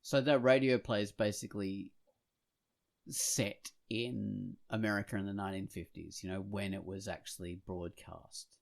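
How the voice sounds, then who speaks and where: A man chatting casually as a podcast host, rambling and halting as he goes, growing animated when enthused. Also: lowish voice, vocal fry. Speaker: podcast host and comic artist.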